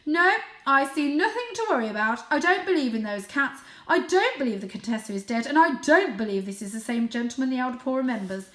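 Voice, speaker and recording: A woman voicing a domineering father cat in a storybook, dismissive and quick to anger, speaking with forceful emphasis. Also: high voice, expressive, echoey room, pitched above the performer's own voice.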